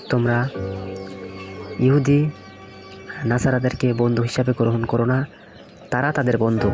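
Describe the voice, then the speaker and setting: Man voicing a stern, preachy uncle, even-toned. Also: medium pitch, lightly acted. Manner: didactic, questioning. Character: preachy uncle admonishing his nephew, stern and pious. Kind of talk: scripted religious cartoon dialogue and preaching. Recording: music behind the voice.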